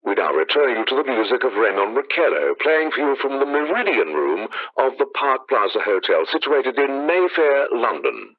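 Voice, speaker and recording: A man acting a formal, measured 1930s radio news announcer in a radio play. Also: medium pitch, sing-song. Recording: dull lo-fi radio sound.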